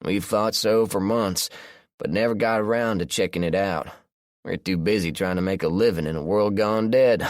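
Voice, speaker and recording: A laid-back Southern country man around fifty drawls along in an unhurried, folksy way, as an audiobook narrator puts him on. The voice sits noticeably higher than the narrator's own. It is recorded close and dry, with no room around it. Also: no echo, natural voice. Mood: friendly. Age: 50s.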